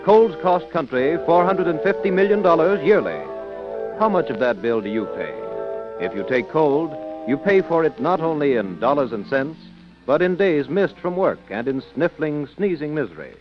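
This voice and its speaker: A male radio announcer delivers a scripted vintage advertisement with emphatic, deliberate selling. His pitch swings widely, and the old recording sounds muffled. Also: big pitch swings, over music.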